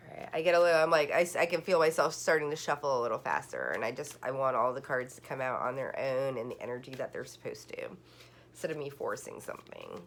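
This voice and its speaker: A woman's voice: very deep voice, animated, quick pace, slight background noise. A woman giving a casual online tarot reading, rambling and full of "like" fillers and restarts. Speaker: tarot card reader.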